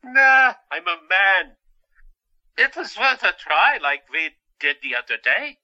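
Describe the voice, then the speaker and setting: An actor playing a playful, wryly melancholy male asylum patient in an audio drama, teasing with halting pauses. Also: high-pitched, big pitch swings, pushed and bright, dull audio, exaggerated voice.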